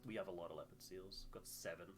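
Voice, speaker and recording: A man's voice: low-pitched, steady tone, fast talker, over music. A man giving casual commentary as he plays a video game, thinking aloud and muttering through his choices. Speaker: gamer playing and commenting on a playthrough.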